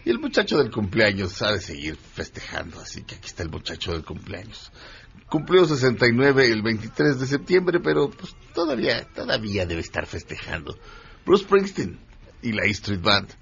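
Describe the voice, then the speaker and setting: A man's voice: fairly low pitch, sing-song, moderate pace, vocal fry, fairly bright tone. A man hosting a radio show, bantering casually and stammering through restarts. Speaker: radio host. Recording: dull lo-fi radio sound.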